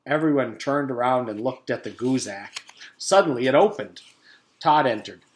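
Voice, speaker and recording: A man in his forties reads a children's story aloud at a medium pitch. His phrasing is expressive and deliberate, like a teacher reading to a class. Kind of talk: children's book read-aloud. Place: small room at home.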